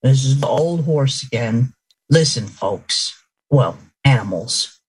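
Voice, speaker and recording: Deep, husky old horse. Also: female voice, lively intonation, slow pace, a little mellow, exaggerated voice, rough recording. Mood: commanding. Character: slow commanding old horse.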